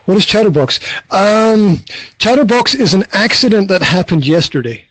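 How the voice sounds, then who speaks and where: A man's voice: high-pitched, normal speed, no echo, low-quality recording. A middle-aged man chatting casually as a podcast host, rambling with restarts and acted-out quotes, talking into a phone on his balcony. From Scotland. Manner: relaxed, rambling, with frequent restarts and quoted asides. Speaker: podcast host and business coach. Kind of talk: casual conversation over an app. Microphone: mobile phone.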